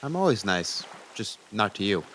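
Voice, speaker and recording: A man's voice: lowish voice, big pitch swings, normal speed. Man playing a needling teenage boy, noisy recording. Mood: grudging concern. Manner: needling, teasing. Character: annoying, taunting teenage boy with a soft side. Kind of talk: audio drama acting.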